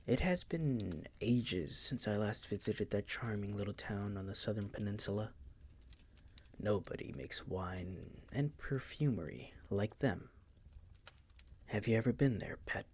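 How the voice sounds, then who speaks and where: A man playing a possessive, suave vampire master in an intimate audio roleplay, hushed and breathy, with a silky, teasing, condescending manner. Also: on the low side, expressive, measured pace, exaggerated voice. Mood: wistful, teasing.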